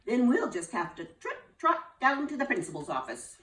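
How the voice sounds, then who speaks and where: A woman voicing a flustered, excitable female schoolteacher who squeals her exclamations in a high voice. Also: moderate pace, recorded from a distance. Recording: roomy, from off the mic.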